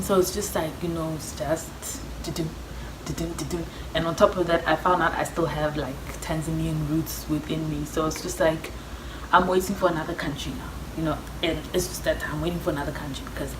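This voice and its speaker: A young South African woman with a low voice chats casually to her viewers. She tells her story in slang and acts out each side of the conversations. The sound is echoey, with clear background noise. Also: steady tone, moderate pace. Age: young adult. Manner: chatty, slangy, acts out reported dialogue. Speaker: vlogger.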